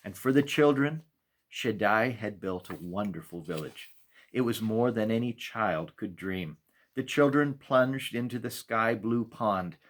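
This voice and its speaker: Low-voiced man reading a children's story. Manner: measured, expressive storytelling with deliberate pauses. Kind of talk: storytime read-aloud narration.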